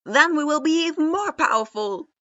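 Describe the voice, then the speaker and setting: A woman voicing a brash, hotheaded boy ninja who shouts excitedly. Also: sits high, normal speed, no echo, pitched above the performer's own voice. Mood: shouting. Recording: dull lo-fi recording.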